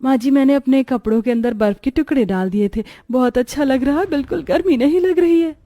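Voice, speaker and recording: A woman's voice: high-pitched, fairly quick, warm tone, no echo, lightly acted. A woman voicing a naive, earnest young village bride in a dramatized moral story. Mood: pleased.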